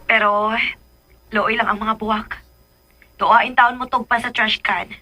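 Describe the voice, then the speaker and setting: A lovestruck teenage girl in a radio drama. Her voice is high, bright and girlish, clingy and pleading in manner. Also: steady tone, unhurried, poor audio. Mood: confiding. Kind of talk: Filipino radio drama acting.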